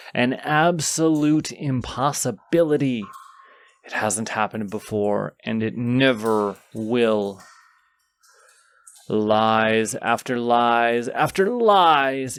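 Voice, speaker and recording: A mighty, wounded dragon, acted out by a man, speaks with extremely slow, weighty deliberation. The voice sits at a medium male pitch, pompous and condescending, with theatrical, drawn-out phrasing suited to a fantasy audio drama. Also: smooth, no room sound. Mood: scornful, haughty.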